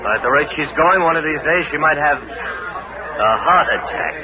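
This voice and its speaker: An oily, menacing villain in a 1940s radio drama, taunting with drawn-out, swooping phrases. The recording is old and tinny, with a loud crowd behind him. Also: male voice, fairly high pitch, lively intonation. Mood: veiled threat. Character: menacing, mocking male crooked business partner.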